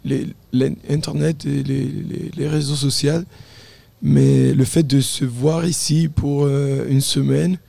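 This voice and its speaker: Halting Italian-accented young man, gravelly. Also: mid-range voice, level tone, warm tone, no echo. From Italy. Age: 20s. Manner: halting, searching for French words. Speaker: guest, climate activist. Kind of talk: radio interview.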